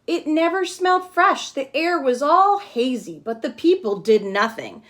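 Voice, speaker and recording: A woman's voice: high voice, sing-song, unhurried, fairly bright tone. A woman kindergarten teacher giving a simple lesson and picture-book read-aloud, explaining step by step and checking with questions.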